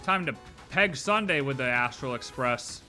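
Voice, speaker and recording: A streamer reacting live to a game with excitable, sarcastic, joking commentary. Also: medium pitch, moderate pace, soft background music.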